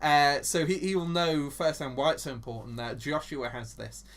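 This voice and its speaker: Enthusiastic English male co-host, slightly high voice, rambling church-history chat. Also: fairly even intonation, normal speed, fairly bright tone. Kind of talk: casual Bible teaching chat.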